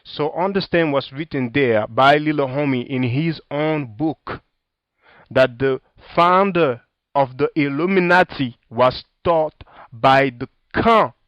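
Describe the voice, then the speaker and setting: A man's voice: a little high, slow pace, no echo, dull audio. A man with a French accent, whose first language is French, giving a sarcastic, combative video commentary in loose street slang while reading from a book and a website.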